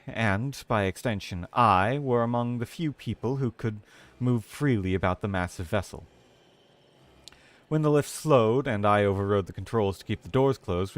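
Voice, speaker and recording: Animated, low-voiced man streaming. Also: normal speed, dry recording. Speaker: streamer and lore reader. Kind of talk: casual game stream chat mixed with dramatic reading.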